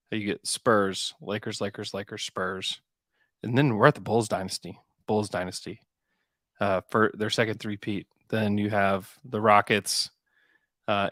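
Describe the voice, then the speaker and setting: This is a man with a somewhat low voice, recorded close and dry. He hosts a casual sports podcast, talking through basketball as he thinks. He often restates a point to drive it home. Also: a bit bright, no echo.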